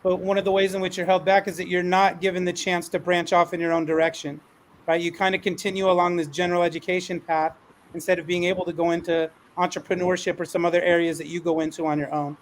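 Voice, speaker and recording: High-voiced man moderating, even delivery. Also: fast talker, slight background noise. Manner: encouraging, conversational. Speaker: podcast moderator and educator. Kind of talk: moderating a panel discussion.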